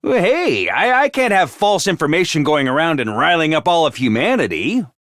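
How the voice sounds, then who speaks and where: A man's voice: on the high side, big pitch swings, moderate pace, fairly bright tone, no echo. A man voicing a chirpy, scheming male mascot creature who makes cheery announcements and turns snide and callous. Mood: sly. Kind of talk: video game voice lines. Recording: dry studio recording.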